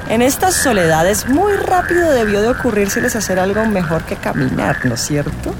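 A woman's voice: medium pitch, sing-song, moderate pace, over music. An actress playing a wry, teasing female fortune-teller companion in a radio drama, with a knowing manner.

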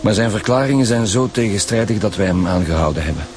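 Actor as low-voiced Flemish chief police inspector. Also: male voice, expressive, fast talker, music in the background, lightly acted. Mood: firm, probing. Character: seasoned male chief police inspector, dry and businesslike. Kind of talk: naturalistic radio drama acting.

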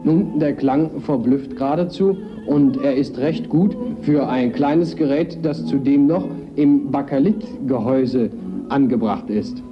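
A man announcing in a measured 1950s promotional style, heard on an old archival recording. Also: mid-range voice. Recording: dull archival 1950s recording over music.

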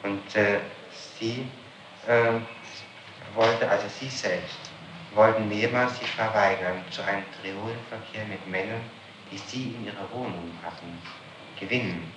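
Halting male interrogator on noisy old tape. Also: on the low side, steady tone. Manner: halting, formal, persistent. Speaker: prosecutor questioning a suspect. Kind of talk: formal interrogation. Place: room, recorded on tape during questioning. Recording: old echoey tape, clear noise.

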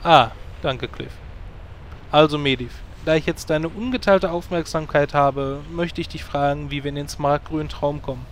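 A man's voice: a little high, a little hiss or hum, natural voice. An actor playing a vain young male druid hero with a wry, sarcastic way of talking in a comedy audio drama. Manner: wry, sarcastic, quick asides.

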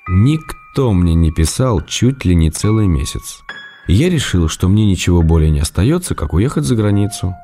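A man in a radio play voicing an ailing writer who answers an actress's letters with gentle, wry tenderness. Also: low-pitched. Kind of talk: radio drama letter reading.

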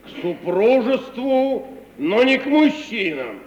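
An actor playing a sardonic, blunt provincial gentleman with a dry, teasing radio comedy delivery. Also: extremely high pitch, very animated, slow pace, echoey room, highs cut off, noisy background, full character voice. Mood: mocking. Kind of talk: 1950 Russian stage-comedy radio acting.

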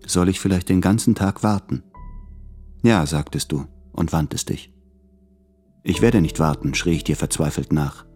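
An actor plays a needy, anxious man in a literary radio drama. His voice is low, and he confesses his dread in a brooding manner. Music runs clearly underneath. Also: normal speed, darkish tone, close to an everyday voice. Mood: brooding, desperate. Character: anxious, fearful, needy man in love, tormented writer.

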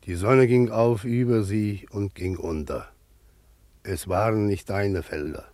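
Radio drama: male actor as solemn peasant elder, measured and slow, biblical cadence, close dry sound. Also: lowish voice, darkish tone, dry recording, lightly acted. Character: grave, steadfast narrator and peasant patriarch.

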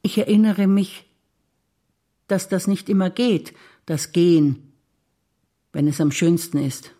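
An older woman from Bavaria recites memories on stage in a low, measured voice. Her delivery is reflective and list-like. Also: dark tone. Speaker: stage actor.

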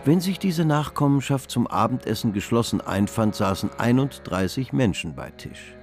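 An actor as the narrator of a historical radio drama, reading grand literary description. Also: male voice, medium pitch, expressive, normal speed, faint music behind, close to an everyday voice. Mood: grand, descriptive.

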